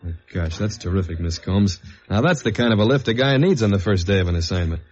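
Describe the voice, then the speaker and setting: An actor playing a dry, steady undercover investigator with an American accent, in the style of 1950s radio detective drama. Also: male voice, on the low side, quick pace, natural voice. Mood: measured. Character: undercover investigator man narrating his case, dry and steady. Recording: muffled old radio recording with music.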